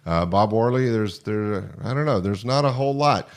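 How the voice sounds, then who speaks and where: Older man, podcast host, low voice, earnest, wordy and halting, casual interview chat. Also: lively intonation.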